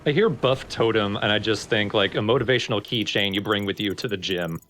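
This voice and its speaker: A man with a lowish voice joins a gaming commentary. He speaks casually and jokes along with the others, with slight noise in the background. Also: a little fast, a bit bright. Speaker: let's play co-commentator.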